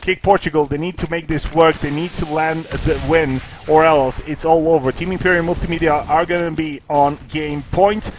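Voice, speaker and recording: Higher-voiced male esports caster. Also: normal speed, few pauses, faint music behind. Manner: excitable, rises in pitch and volume at big plays; casual, jokey asides. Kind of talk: live play-by-play commentary.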